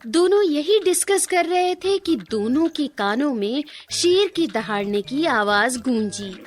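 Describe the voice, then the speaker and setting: A woman's voice: high-pitched, expressive, unhurried. A woman narrating a scripted children's cartoon story.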